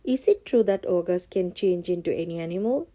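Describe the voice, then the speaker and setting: Woman voicing a clever, courteous storybook cat, softly mellow. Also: lowish voice, animated, moderate pace, natural voice. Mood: sly. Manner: courteous, coaxing, theatrical. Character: clever, bold male storybook cat, polite and sly.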